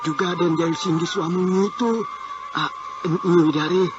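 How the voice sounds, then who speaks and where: A man's voice: high-pitched, steady tone, normal speed, close to an everyday voice. An actor playing a fearful, suspicious village man, stammering and gasping in a horror radio serial. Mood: nervous. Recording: dull, noisy old radio drama recording.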